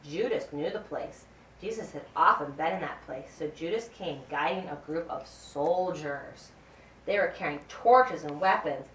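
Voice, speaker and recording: A woman reading a scripted Bible story as narrator of a puppet show, in a deliberate, expressive way. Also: deep voice. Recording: roomy, distant mic, faint background noise.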